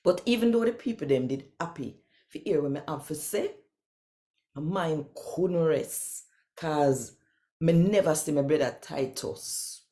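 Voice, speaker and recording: A woman with a Jamaican accent, reading scripture and addressing viewers in an expressive, conversational and emphatic way. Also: low voice, a little slow.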